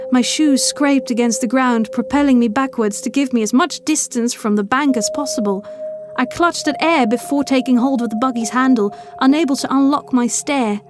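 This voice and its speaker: A woman reads a first-person horror tale in a fairly high voice. Her delivery is measured and dramatic, with a haunted feel. Atmospheric music plays clearly behind her. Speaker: audio fiction narrator. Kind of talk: scripted first-person horror story narration. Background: atmospheric new-age music.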